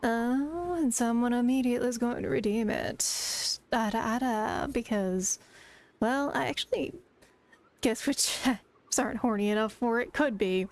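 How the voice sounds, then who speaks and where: Brief: woman streamer, slightly high voice, rambling casual chatter aimed at chat. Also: a little slow.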